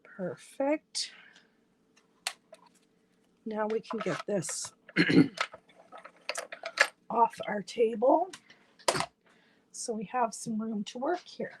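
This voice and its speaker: A woman's voice: a little high, animated, drawn-out words, long pauses, background noise. A woman who is losing her voice gives a chatty, step-by-step craft tutorial at her worktable, talking to viewers as she works. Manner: chatty, talks to viewers while working with her hands. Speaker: independent stamping demonstrator. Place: at a craft table.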